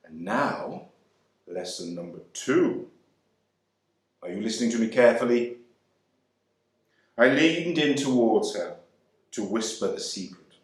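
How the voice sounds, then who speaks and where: A man giving a first-person dramatic reading of a novel monologue, measured and expressive. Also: fairly low pitch. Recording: roomy echo.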